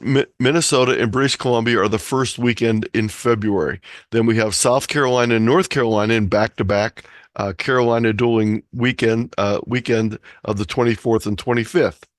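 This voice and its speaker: An American man with a mid-pitched voice presents an informal hobby lecture. He explains things step by step in an instructive way. The sound is dry, with very little room around the voice. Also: no echo. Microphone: close computer or headset mic on a video call.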